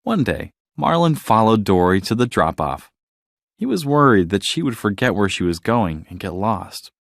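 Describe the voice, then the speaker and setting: A man reading a scripted children's story as narrator, in an expressive, dramatic storytelling manner. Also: fairly low pitch, normal speed, gravelly, no room sound, clean studio sound.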